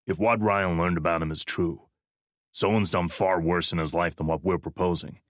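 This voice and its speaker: A man's voice: sits low, fairly even intonation, moderate pace, dry recording, studio quality, natural voice. A man playing a big, wry male specialist.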